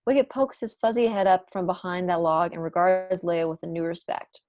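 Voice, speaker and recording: Female narrator for script table read, slightly low pitch, dry sound, reads scene directions. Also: fairly even intonation, normal speed, a little mellow, no room sound. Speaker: narrator reading stage directions. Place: indoor room at home, video call.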